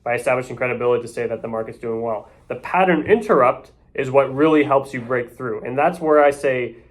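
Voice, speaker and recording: A man who coaches others in real estate sales, talking quickly and freely as if straight off the top of his head. His pitch sits in the middle range for a man. He keeps checking in with his listeners with a quick "right?" after his points. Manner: loose, off-the-cuff, often ends points with "right?". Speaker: real estate agent teaching door knocking. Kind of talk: casual sales coaching talk.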